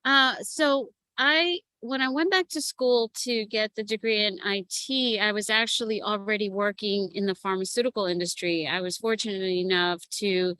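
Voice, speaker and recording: A woman in her fifties, a matchmaker and coach, telling her life story in a relaxed interview with frequent laughs. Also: medium pitch, moderate pace, hardly any pauses, no echo. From United States. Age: 50s. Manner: lively storyteller who laughs often. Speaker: guest, matchmaker and relationship coach. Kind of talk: casual interview chat.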